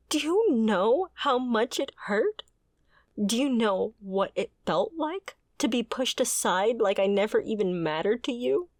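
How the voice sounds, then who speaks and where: A woman's voice: fairly high pitch, no room sound, studio quality. A woman playing a fiery, bitter mercenary and wounded former bodyguard in a confrontational, sardonic audio roleplay. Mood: hurt, furious. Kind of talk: dramatic ASMR roleplay acting.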